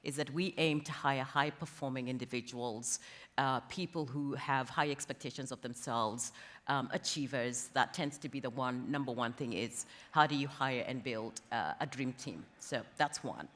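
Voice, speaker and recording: A woman's voice: rumbling low, moderate pace, a bit bright. A woman from Kenya speaking conversationally as an interviewee on a panel. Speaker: interviewee, company talent executive. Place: conference stage in a large hall. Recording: reverberant hall, stage event.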